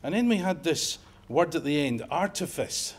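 A middle-aged Scottish man lecturing at a conference in a fairly high voice. He talks in a wry, conversational way and slips jokes into his points. A lapel mic picks him up in a lecture room. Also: lively intonation, moderate pace. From Scotland. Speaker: emergency medicine professor giving a lecture. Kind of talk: conference talk.

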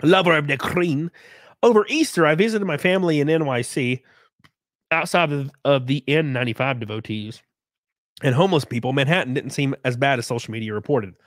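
A man in his thirties hosting a casual live stream from a small home room, close on a boom mic, joking crudely and sounding congested from a cold. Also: a little fast, no echo. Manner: crude, joking, laughs often. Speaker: livestream host. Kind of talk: casual live-stream chat reading donations. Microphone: studio mic on boom arm, close.

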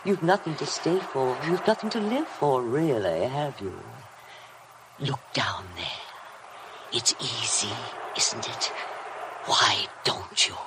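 A woman's voice: very deep voice, expressive, slow pace, full character voice. An actress playing a sinister, obsessive housekeeper who goads her mistress with servile, hypnotic menace in a 1950s radio drama. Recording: noisy old 1950 radio broadcast recording.

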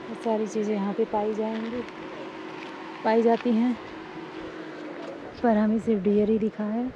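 Brief: woman, 30s, chatty excitable vlogger on a sunny trail, camera at arm's length, muffled sound, heavy wind noise. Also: fairly high pitch, measured pace. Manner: chatty and excitable, pointing out sights. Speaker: travel vlogger. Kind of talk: casual travel vlog narration. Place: outdoors on a sunny volcano hiking trail. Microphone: camera held at arm's length. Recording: dull outdoor phone audio with wind noise.

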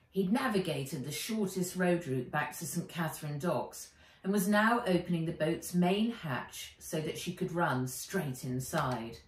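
Slow British woman reader, roomy sound. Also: on the low side. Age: middle-aged. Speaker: children's author reading her own book. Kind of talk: storybook read-aloud. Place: bright room by garden windows, indoors. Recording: roomy, a little distant from mic.

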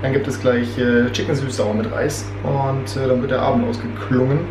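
A young man talks casually into a camera held at arm's length. He rambles and pokes fun at himself, and his voice carries a slight cold. A steady noise runs under the recording. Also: medium pitch, normal speed. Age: 20s. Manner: chatty, self-mocking, rambling asides. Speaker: vlogger. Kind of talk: casual weekly vlog chat. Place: home kitchen and inside a car. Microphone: handheld camera at arm's length.

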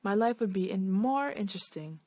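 A woman's voice: mid-range voice, lively intonation, normal speed, mellow, dry recording, natural voice. A woman voicing a discontented talking shape in a storybook, grumbling and wishful.

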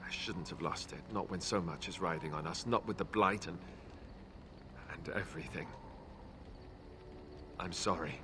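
An actor playing an earnest, awkward young warrior companion in a fantasy video game, hesitant and sincere. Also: male voice, deep voice, moderate pace, pauses often, noisy background, lightly acted. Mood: grieving, regretful.

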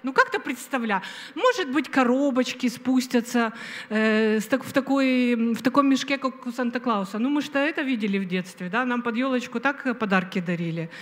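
A middle-aged woman delivers a sermon from a stage, holding a microphone. She speaks slowly and with emphasis, pausing often and filling gaps with hesitations. Her voice rings out with a long reverb from the hall. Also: on the high side. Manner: slow, emphatic preaching with many pauses and fillers. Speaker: preacher. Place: stage of a large hall with an audience. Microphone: handheld microphone.

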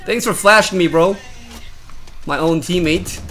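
A man streaming a shooter game, chatting casually, teasing teammates and shouting callouts from a room at home. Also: high-pitched, measured pace. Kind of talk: casual gaming chat with callouts.

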